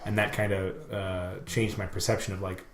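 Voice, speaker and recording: A man with a fairly low voice rambles casually as a podcast co-host. He tells stories in slangy, profane language. The recording is roomy and echoey, with a little background noise. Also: little pitch movement, normal speed. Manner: informal, sweary, slangy storytelling. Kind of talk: casual, rambling chat about video games.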